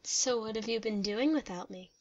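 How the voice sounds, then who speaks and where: A woman's voice: on the high side, normal speed, a little mellow, lightly acted. Lady as loving goddess daughter in an audio play, bit muffled lofi sound. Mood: tender. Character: affectionate goddess, daughter of the king. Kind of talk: radio-play story reading.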